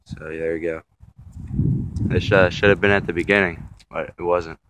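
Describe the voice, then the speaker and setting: A young man casually narrating a tour of a river dam outdoors, filming on a phone, halting with many fillers and restarts. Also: sits low, fairly even intonation, background noise. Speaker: vlogger filming a local landmark. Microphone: phone camera held while walking.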